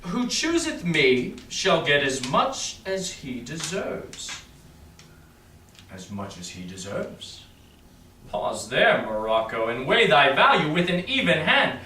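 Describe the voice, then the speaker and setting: Guy acting proud self-assured shakespeare prince, big swings in pitch, declaims, distant mic with faint noise. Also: very animated, sharp tone, roomy sound, heavily acted. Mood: proud, confident. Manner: declamatory, deliberating aloud with weighty pauses. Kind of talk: Shakespearean verse, staged reading.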